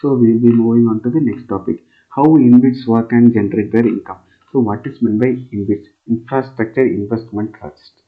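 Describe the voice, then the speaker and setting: A man from India teaching a current affairs lesson for exam students. He fills his talk with pauses and asks "right?" to check the listeners follow. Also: medium pitch, fairly even intonation. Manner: many fillers and "right?" checks, repeats phrases while explaining. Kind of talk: exam coaching lecture explaining a news article. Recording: tinny phone-like sound.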